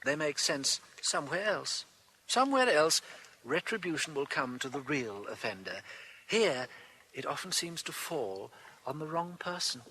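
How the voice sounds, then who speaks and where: An actor playing a mild, bookish priest, gentle and hesitant in a radio drama. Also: male voice, a little high, big pitch swings, unhurried, a little hiss or hum, heavily acted, poor audio. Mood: solemn. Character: mild, bookish, thoughtful English priest sleuth.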